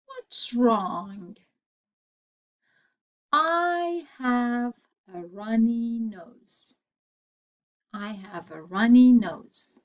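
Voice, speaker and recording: A woman teaching English vocabulary, repeating each phrase slowly and carefully for learners. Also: on the high side, lively intonation, muffled recording. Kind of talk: slow, deliberate vocabulary lesson.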